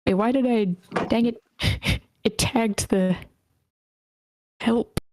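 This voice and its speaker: A woman hosts a casual online read-through at a slow pace. Her voice sits at an average pitch, and the sound is very dry, with no room around it. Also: fairly even intonation, darkish tone, dry recording. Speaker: host of an online table read. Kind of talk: casual hosting, organizing readers. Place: online meeting.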